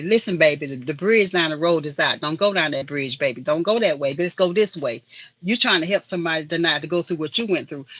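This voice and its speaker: A woman's voice: fairly low pitch, fairly bright tone, dry recording, dull audio. A woman hosting a casual live-stream chat, who calls people honey and baby. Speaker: host.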